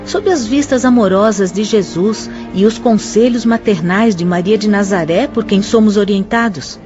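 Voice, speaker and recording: A woman's voice: mid-range voice, normal speed, lightly acted. An actress playing a solemn, earnest female spirit instructor in a Brazilian radio drama, with formal, declamatory delivery. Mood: solemn, instructive. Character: solemn female spirit instructor, grave maternal moral guide. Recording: slightly dull audio with music underneath. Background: background music.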